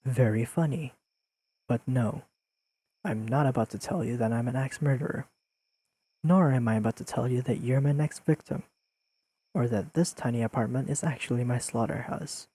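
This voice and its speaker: Mellow, husky deadpan young man, acted. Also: mid-range voice, moderate pace, lightly acted, low-quality recording. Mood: dry, soft teasing. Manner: deadpan, precise, formal wording with dry teasing. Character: deadpan, pedantic, secretly fond young friend, male. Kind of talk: intimate scripted audio roleplay addressed to the listener.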